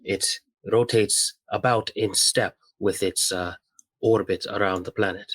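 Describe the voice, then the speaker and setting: A man's voice: on the low side, natural voice. A man playing a proud, unrepentant soldier pilot from a space kingdom, speaking formally and justifying himself in a tabletop game. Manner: formal, measured, self-justifying.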